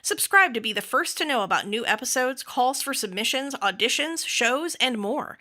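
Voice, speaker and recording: A woman's voice: sits high, animated, moderate pace, pushed and bright. A woman hosting a podcast, reading scripted announcements. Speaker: podcast host.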